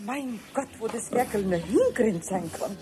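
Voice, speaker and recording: Casting: a brisk Bavarian farmer's wife, higher voice, old radio play noise. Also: female voice, lively intonation, warm tone. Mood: chatty. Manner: brisk, scolding. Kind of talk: 1970s radio play acting.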